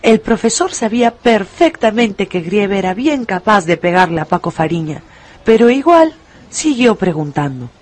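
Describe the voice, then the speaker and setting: A woman narrating a dramatised radio story in an expressive, deliberate, emphatic way. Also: mid-range voice.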